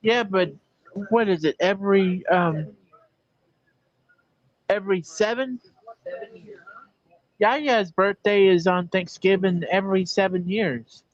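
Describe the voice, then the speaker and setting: A woman's voice: mid-range voice, slow, long pauses, no room sound, lo-fi sound. A woman in her sixties joining a live video chat, teasing the others playfully. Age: 60s. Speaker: regular guest. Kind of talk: casual chat.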